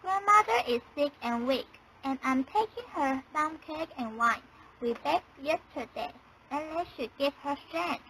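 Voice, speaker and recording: A woman's voice: sits high, animated, measured pace, pushed and bright, slight background noise, voice pushed up, full character voice, rough recording. A woman voicing an innocent, polite little girl in a storybook radio play, with a sweet sing-song delivery. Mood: polite, trusting.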